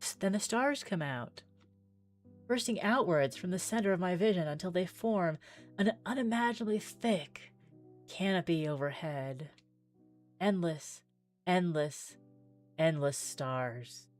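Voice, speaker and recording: A woman reads story text from a visual novel aloud in a fairly low voice. Her delivery is theatrical and deliberate, with pauses for effect. Music plays softly underneath her. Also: animated. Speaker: streamer playing a visual novel demo. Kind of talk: dramatic read-aloud of narrative game text.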